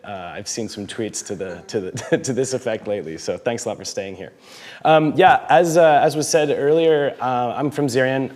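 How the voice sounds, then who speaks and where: A man's voice: medium pitch, darkish tone. A man in his thirties giving a casual, self-deprecating conference talk, with frequent fillers and light laughs. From United States. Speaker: conference speaker, head of marketing.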